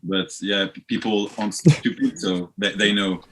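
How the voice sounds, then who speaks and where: A man from France with a French accent, a band guest chatting casually and haltingly in an interview. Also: on the low side, lively intonation, a bit bright.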